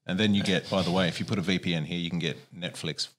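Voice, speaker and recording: Deep-voiced fast-talking male host. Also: steady tone, a bit bright. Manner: quick, jokey interjections and questions. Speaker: podcast host. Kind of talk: casual interview chat.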